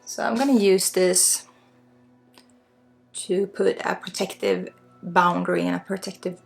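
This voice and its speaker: Woman, Swedish accent, ASMR spiritual guide. Gravelly creak, soft reassuring delivery, music behind. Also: fairly low pitch, steady tone, a little slow. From Sweden. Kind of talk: ASMR guided energy healing.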